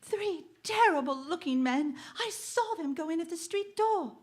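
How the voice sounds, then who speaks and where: A woman's voice: very high voice, lively intonation, normal speed. A woman in a radio drama playing a brave, earnest young heroine with an accusing, theatrical delivery. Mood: anxious defiance.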